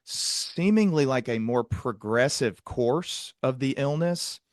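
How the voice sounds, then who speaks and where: Man, mid-range pitch, podcast host, earnest, wordy, conversational, very dry studio-like sound. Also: expressive, takes it slowly, no echo. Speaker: podcast host and psychiatric clinician interviewing a guest. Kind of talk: conversational interview with long reflective remarks.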